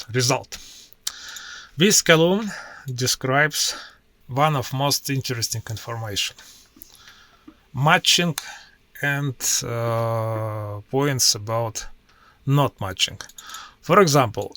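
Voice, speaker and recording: Slow-talking man with Russian accent. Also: mid-range voice, bright, forceful tone, no echo. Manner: slow, halting non-native English with frequent uh pauses. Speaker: IT professional demoing his workflow. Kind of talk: screen-share tutorial walkthrough.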